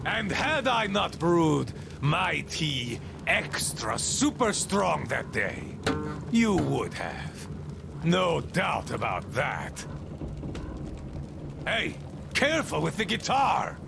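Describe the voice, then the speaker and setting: An eccentric old river commander in a game, bitter and bossy. His voice is thick with gravel and moves widely up and down as he rambles at an unhurried pace. Music plays clearly behind him. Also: on the high side, huge pitch swings, heavily acted. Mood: bitter, accusing. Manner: theatrical, grumbling, rambling. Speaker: game character, leader of a small river crew. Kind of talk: dramatic video game monologue.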